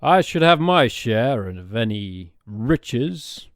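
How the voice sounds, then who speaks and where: A man's voice: medium pitch, sing-song, takes it slowly, no room sound, studio quality. A man playing an irritable, self-important space therapist who stammers and gets flustered in an improvised comedy. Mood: indignant. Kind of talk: improvised comedy audio drama.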